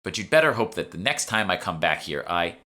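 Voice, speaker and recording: An impatient, defiant heroine played by a man makes curt demands in audiobook dialogue. The voice is bright and forceful, with lively swings in pitch. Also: a little fast, full character voice. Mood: curt, uneasy. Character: pushy, impatient woman adventurer, sharp and defiant.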